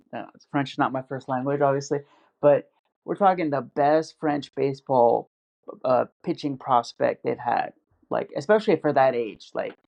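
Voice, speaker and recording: A man's voice: medium pitch, creaky, a little mellow. A man in casual podcast chat, rambling with lots of fillers and restarts. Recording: dull lo-fi audio.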